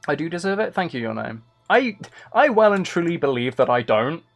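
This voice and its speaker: Young male streamer, rambling and self-deprecating, with a bouncy, animated delivery. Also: a little high, a little fast, gravelly, soft background music. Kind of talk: casual live-stream chat while gaming.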